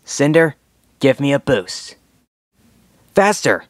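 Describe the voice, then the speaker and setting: A man's voice: mid-range voice, very animated, slow pace, no echo, studio quality. A man voicing a heroic young dragon leader in a cartoon dub audition, determined, confident and earnest as he rallies his team. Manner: earnest, commanding, rallying. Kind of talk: scripted cartoon fandub audition lines.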